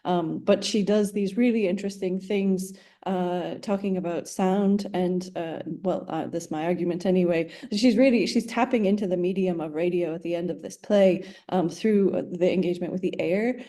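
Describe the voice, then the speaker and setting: Low, mellow-voiced woman, hesitant academic talk. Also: steady tone, normal speed, hardly any pauses. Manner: hesitant, rambling, tentative. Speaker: academic panel speaker. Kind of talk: academic discussion, speaking off the cuff.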